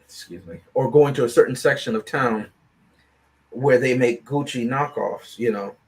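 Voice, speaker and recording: A man's voice: mid-range voice, moderate pace, poor audio. A man speaking as a panelist on a film review show, in casual, analytical discussion, often checking in with "right?".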